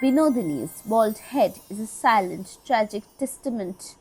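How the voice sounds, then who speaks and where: A woman with a fairly high voice and very lively intonation delivers a scripted video essay. Her phrasing is literary and measured, with deliberate dramatic pauses. Music plays under the voice-over. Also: low-quality recording. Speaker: video essay narrator, podcast host.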